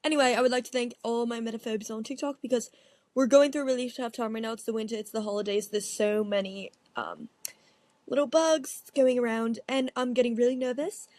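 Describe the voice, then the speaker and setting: A woman's voice: fairly high pitch, steady tone, brisk, a little mellow, no echo. A woman co-hosting a podcast, chatting casually with easygoing, giggly banter. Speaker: podcast co-host. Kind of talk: casual chat.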